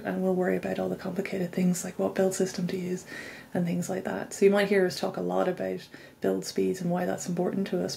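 A woman's voice: lowish voice, creaky, dark tone, slight background noise. A woman in her thirties or forties speaking informally as a manager being interviewed on a video call. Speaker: engineering manager, interview guest. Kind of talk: informal technical interview talk.

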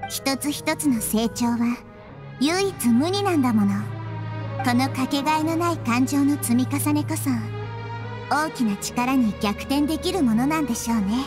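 Woman acting gentle girl goddess, soft tender speech, high voice, game music behind. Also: normal speed, close to an everyday voice. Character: young-looking girl goddess, gentle and wise.

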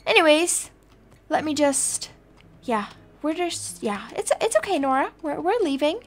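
Casting: woman as a scheming, fussy birth mother for game roleplay; high voice, slow breezy muttering, music underneath. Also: big pitch swings. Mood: annoyed, breezy. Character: brazen, self-satisfied kidnapping birth mother, scheming and fussy. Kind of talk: improvised video game roleplay.

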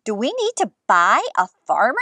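Storybook little girl played by adult woman: high, animated, curious, eager. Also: normal speed, no echo, full character voice. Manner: eager, wide-eyed, exclaiming.

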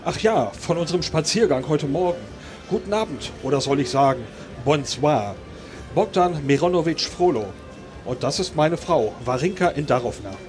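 A suave, probing Soviet husband, played by a man in a live radio play. He is formal and inquisitive, a little high in pitch, over faint music. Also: lively intonation, normal speed, natural voice.

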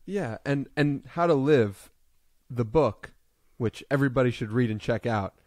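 A man in his twenties hosting a podcast interview, curious and quick to laugh, speaking into a close studio mic. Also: medium pitch, darkish tone, no echo. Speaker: podcast host. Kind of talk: casual interview chat. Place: home room with bookshelves, indoors. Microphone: large studio mic up close.

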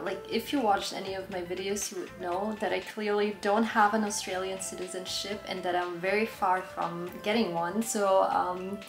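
A woman in her twenties speaks English with a German accent that has picked up some American. She chats casually like a vlogger taking questions, at an average pitch. The room is clearly echoey, and music plays underneath. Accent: German with some American influence. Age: 20s. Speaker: vlogger answering viewer questions. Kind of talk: casual Q&A chat to camera. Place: small bare indoor room. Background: background music.

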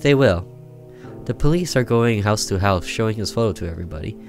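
Man acting out earnest older brother, visual novel character, protective, evasive, low-pitched, faint music. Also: expressive, brisk. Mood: reassuring. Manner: defensive, earnest explaining.

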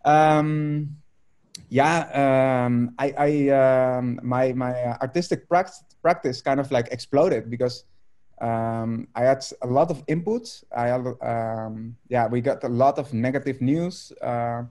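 A Dutch man in his thirties speaks English over a video call from a room at home. His voice is mellow and dark, and he talks haltingly, filling gaps with ums and restarting phrases. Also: medium pitch. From Netherlands. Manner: hesitant, many fillers and restarts. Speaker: artist guest.

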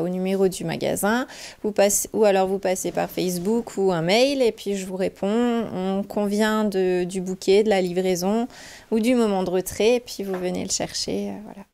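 A woman's voice: medium pitch, mellow. A woman in her forties, a florist, earnestly explaining how customers can still order in a local TV interview inside her shop.

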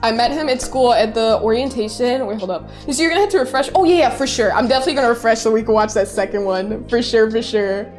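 Young woman streamer, high bright voice, bubbly and effusive, faint music behind. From Chicago area, US. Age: 20s. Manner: bubbly, effusive, quick to squeal with excitement. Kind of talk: casual live-stream chat reading messages. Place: bedroom streaming setup, indoors.

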